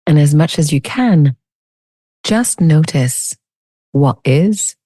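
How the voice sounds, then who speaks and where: A woman leading a guided meditation as a teacher, speaking in a soothing, unhurried, gently inviting way. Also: low-pitched, expressive, no room sound, studio quality.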